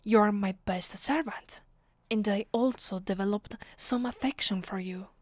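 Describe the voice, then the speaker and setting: A woman with an Italian accent playing a commanding, vengeful vampire in an ASMR roleplay, her voice soft and breathy, often sinking to a whisper. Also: medium pitch, no echo, lightly acted. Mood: flustered, affectionate. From Italy. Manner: slow, deliberate, imperious. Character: commanding, vengeful female vampire, secretly affectionate. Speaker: ASMR voice actress.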